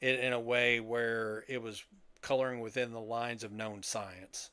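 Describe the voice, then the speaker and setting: A man's voice: medium pitch, flat delivery, a little slow, bright, forceful tone, clear, resonant tone, no echo. An older man talking casually as a podcast co-host. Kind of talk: casual chat about sci-fi and old radio heroes.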